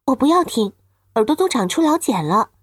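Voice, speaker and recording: A woman's voice: fairly high pitch, big pitch swings, a little fast, husky, no room sound, studio quality. A woman acting a whiny, insistent small child in an audio drama. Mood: whiny, demanding.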